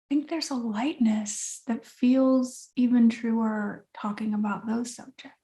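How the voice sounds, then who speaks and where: A woman co-hosting a podcast, talking in a slow, thoughtful, reflective way and searching for words. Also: on the high side, fairly even intonation, dark tone. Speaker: podcast co-host and coach. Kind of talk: reflective casual chat.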